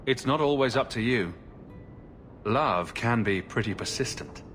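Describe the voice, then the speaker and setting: An actor playing a cocky, playful adventurer hero in a video game, bantering with a romantic streak. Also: male voice, mid-range voice, lively intonation, unhurried, a bit bright, soft background music. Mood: probing.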